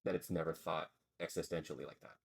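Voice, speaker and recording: A man with a very low voice that barely rises or falls. He co-hosts a relaxed podcast conversation and rambles as he works his ideas out aloud. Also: fast, husky. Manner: thinking aloud, rambling and searching for words. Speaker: podcast co-host. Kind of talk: casual philosophical chat.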